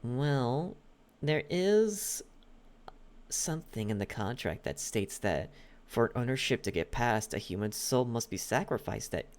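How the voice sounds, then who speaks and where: A man playing a possessive, lovesick demon butler in an intimate ASMR roleplay, coaxing with a halting delivery and lingering pauses. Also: mid-range voice, animated. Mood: pleading, persuasive.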